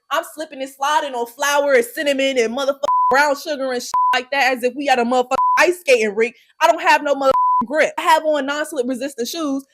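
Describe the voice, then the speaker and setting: A young woman with telling a storytime vlog, brash and profane, loudly acting out the quoted lines. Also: high voice. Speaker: YouTuber.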